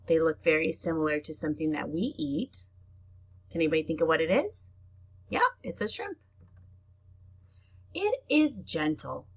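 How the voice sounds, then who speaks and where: Husky woman reading to children. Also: sits low, fairly bright tone. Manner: simple, child-directed explaining, slow deliberate reading alternating with chatty asides. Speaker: elementary teacher reading to pupils. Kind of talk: children's read-aloud lesson with explanations.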